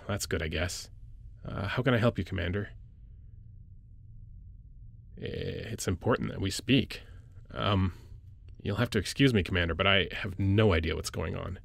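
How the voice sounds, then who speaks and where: Man acting as low, gravelly space-military medic. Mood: confused, wary. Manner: informal, hesitant, teasing, conversational. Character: caring, teasing male space-military medic lieutenant, playfully gruff. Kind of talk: intimate ASMR audio roleplay acting.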